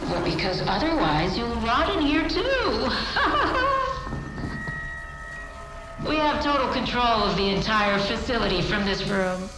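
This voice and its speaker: A woman's voice: on the high side, very animated, takes it slowly, exaggerated voice. An actress playing an imperious, sardonic female scientist with a condescending, teasing manner in a video game cutscene. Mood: mocking, brisk. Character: sardonic, imperious female scientist, mockingly sweet and self-amused. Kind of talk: dramatic game cutscene acting. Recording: echoey space with music behind. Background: music.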